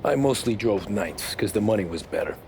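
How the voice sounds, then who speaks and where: A man's voice: lowish voice, expressive, fairly quick, creaky, heavy background noise. A man with an American accent playing a working-class cab driver drawn reluctantly into the mob, in a cinematic 1930s crime game. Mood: weary, reflective.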